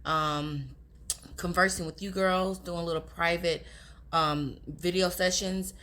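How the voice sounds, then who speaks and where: Raspy-voiced young woman vlogger. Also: on the low side, steady tone, slow, fairly bright tone. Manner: chatty, confiding, addresses viewers as girls. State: hoarse from talking all day. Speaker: relationship advice vlogger. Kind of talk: casual advice talk to camera.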